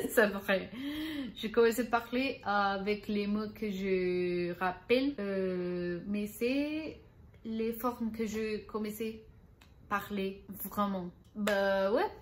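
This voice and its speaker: A woman with a Spanish accent, a non-native speaker vlogging unscripted, halting and searching for words as she corrects herself. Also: medium pitch. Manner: laughs at her own mistakes. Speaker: language-learning vlogger. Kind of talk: unscripted learner's update vlog.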